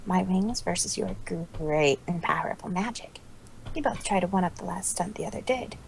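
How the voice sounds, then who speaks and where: A woman's voice: on the low side, normal speed, vocal fry, a little hiss or hum, poor audio. A woman voicing boastful cartoon pony mares in a cocky, taunting, theatrical way. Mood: challenging.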